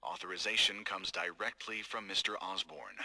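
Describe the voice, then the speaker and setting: A man voicing a cold, scheming corporate executive in game audio logs, calm, measured and formal. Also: sits low, full character voice. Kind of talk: recorded game audio log.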